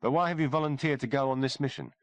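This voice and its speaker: A man voicing a gruff, blunt male army sergeant, shaken by what he has seen. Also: medium pitch, fairly even intonation, quick pace, dry recording, pitched above the performer's own voice, lightly acted.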